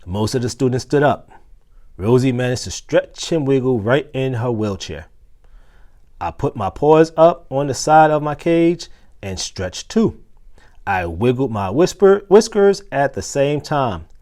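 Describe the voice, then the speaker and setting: Animated man reading a children's story aloud. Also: medium pitch, measured pace. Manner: expressive, acts out dialogue, occasional stumbles. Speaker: assistant principal reading a children's book aloud.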